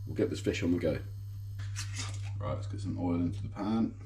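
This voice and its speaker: A man with a stuffed-up nose gives a relaxed, enthusiastic running commentary as he cooks. His voice sits on the low side, and the kitchen sounds echoey. A pan sizzles and fries clearly behind him. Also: moderate pace. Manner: relaxed, enthusiastic about food, talks while cooking. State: blocked nose, bunged up. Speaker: fishing and cooking vlogger. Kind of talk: casual cooking commentary. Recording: echoey kitchen with frying noise.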